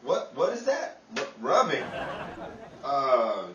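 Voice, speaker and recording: A man's voice: medium pitch, sing-song, takes it slowly, muffled recording. A man playing a cheeky, scheming 1930s New York hustler with slick, jokey patter, in screwball radio comedy style. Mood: playful, evasive. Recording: echoey, distant, noisy live room with crowd.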